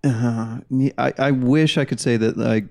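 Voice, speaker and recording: A young man from Denver, a podcast guest, talking in a casual, halting, joking way. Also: mid-range voice, fairly even intonation, gravelly, darkish tone. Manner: halting, self-interrupting, joking.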